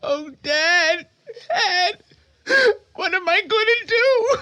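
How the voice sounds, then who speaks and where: An actor playing a grief-stricken, bitter young son in radio drama, with anguished, stammering outbursts. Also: male voice, very high voice, expressive, very slow, sharp tone, a little hiss or hum, full character voice. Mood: tearful grief. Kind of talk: old-time radio drama acting.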